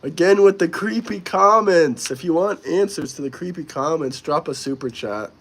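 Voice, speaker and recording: A man's voice: a little high, expressive, moderate pace, a little hiss or hum. A young man hosting a live stream, casually and bluntly answering viewer comments while a little under the weather. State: slightly sick. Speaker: livestream host.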